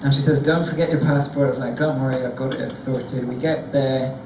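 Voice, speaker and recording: A man on a discussion panel, telling a lively, self-deprecating story. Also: medium pitch, fairly quick, far from the mic, noisy background. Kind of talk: anecdotal storytelling. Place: large theatre stage before an audience. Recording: echoey hall recorded from afar.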